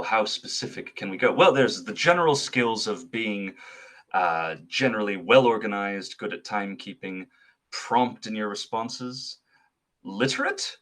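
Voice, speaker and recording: A man's voice: on the low side, a bit bright. A young man from Switzerland, answering interview questions as a guest in an articulate, thoughtful way with some fillers and restarts. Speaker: associate artist manager, guest.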